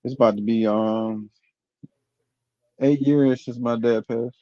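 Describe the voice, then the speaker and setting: Laid-back man in his forties, mellow. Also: medium pitch, fairly even intonation, slow, no room sound. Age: 40s. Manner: laid-back, says "bro" a lot. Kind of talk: casual chat. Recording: dull lo-fi sound.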